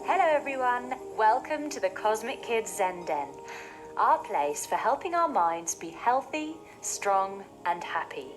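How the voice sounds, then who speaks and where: A woman hosting a scripted mindfulness session for children, speaking in a soothing, clearly phrased, gently expressive way. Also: a little high, unhurried, music in the background, poor audio. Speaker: host of a children's mindfulness show.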